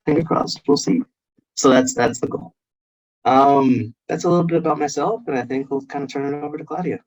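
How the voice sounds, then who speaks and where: A man's voice: fairly high pitch, fairly quick, darkish tone, lo-fi sound. A young man in his twenties introducing himself as an actuarial analyst on a career fair panel, speaking eagerly with many fillers and restarts.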